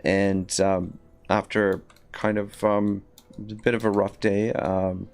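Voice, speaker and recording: A man's voice: fairly low pitch, flat delivery, takes it slowly, a little mellow, smooth. A man with a scratchy voice giving rambling, casual commentary while livestreaming a strategy game, with many fillers and restarts. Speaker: livestream gamer.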